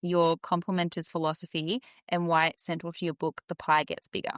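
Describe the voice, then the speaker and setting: A woman speaks as a podcast host in a casual interview style. Her voice is a little low for a woman, and it is recorded dry with hardly any room sound. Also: level tone, brisk, clean tone, no room sound.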